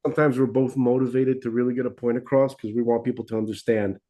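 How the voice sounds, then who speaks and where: A man speaking as a podcast guest, answering in a hesitant, measured way. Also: mid-range voice, little pitch movement, mellow. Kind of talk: casual conversation.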